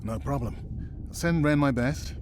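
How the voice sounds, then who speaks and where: A man's voice: mid-range voice, unhurried, slight background noise, close to an everyday voice. A man playing a dry, cautious and caring male science colleague in a naturalistic video game performance. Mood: skeptical.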